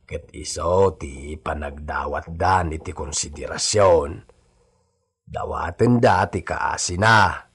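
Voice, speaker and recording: A man's voice: sits low, husky, exaggerated voice. A man playing an earnest, plain-spoken Filipino farm hand in a radio drama, sounding concerned. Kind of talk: Ilocano radio drama acting.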